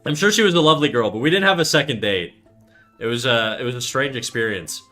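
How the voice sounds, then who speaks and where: A young Canadian man with a bright, forward voice rambles through a laid-back streaming chat, tripping over his words and swearing freely. Soft music sits faintly behind him. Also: mid-range voice, lively intonation, quick pace. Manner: rambling, swears often, many fillers and restarts. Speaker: art streamer. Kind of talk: casual livestream chat reading chat aloud.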